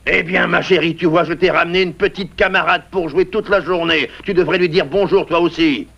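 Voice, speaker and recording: A man playing a gruff, quick-tempered but doting father bear in a dubbed cartoon, blustering and grumbling. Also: a little high, fairly even intonation. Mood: doting, coaxing. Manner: blustering, grumbling, halting when unsure. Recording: muffled old VHS cartoon with music.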